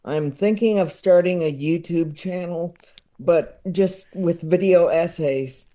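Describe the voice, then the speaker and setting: A man's voice: fairly high pitch, slow, warm tone. A man in his thirties chatting as a podcast guest, rambling and repeating words as he gathers his thoughts. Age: 30s. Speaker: podcast guest, comedy expert. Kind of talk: casual chat.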